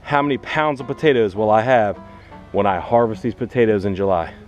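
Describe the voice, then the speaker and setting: A man's voice: lowish voice, a little fast, faint music behind. A man hosting a casual how-to vlog, talking directly to viewers and explaining step by step like a teacher. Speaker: vlog host, teacher and gardener. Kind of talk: casual instructional vlog talk.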